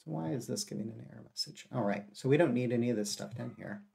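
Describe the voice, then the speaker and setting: A man with a cold and a gravelly voice, thinking aloud as he codes live and explains each step. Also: medium pitch, fast. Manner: steady explaining with frequent uh and self-corrections. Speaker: live coding streamer.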